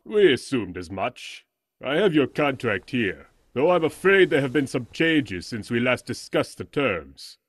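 A man's voice: mid-range voice, sing-song, measured pace, breathy edge, dry recording. An actor in an audio drama playing a formal, courtly businessman who is politely menacing and evasive. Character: smooth-talking, menacing male corporate client representative.

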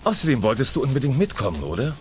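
A man's voice: mid-range voice, wildly swooping pitch, racing, slight background noise. A man playing a protective, exasperated father in a German children's audio drama. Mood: reassuring.

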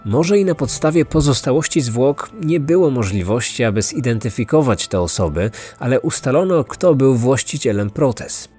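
A man's voice: mid-range voice, animated, normal speed, few pauses, dark tone, breathy edge. Male true crime narrator over music. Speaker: podcast host and narrator.

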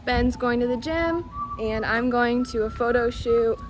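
A woman's voice: a little high, unhurried, slight background noise. A woman in her twenties talking casually and chattily in a personal weekend vlog, filmed at arm's length. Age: 20s. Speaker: vlogger and model. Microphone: camera at arm's length.

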